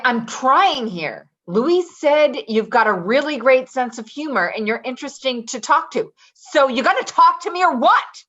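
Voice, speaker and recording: An actress playing a brash, chatty, defensive caregiver in a naturalistic play reading, rambling and halting as she goes. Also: fairly high pitch, fairly bright tone, dull audio. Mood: eager, defensive. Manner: rambling, halting, with nervous laughter. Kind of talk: naturalistic readers theater acting.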